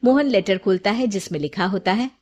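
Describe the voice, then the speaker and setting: A woman tells a scripted bedtime-style moral story as its narrator. Her voice sits at a medium pitch, with clear storybook phrasing. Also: fairly quick, darkish tone, muffled recording.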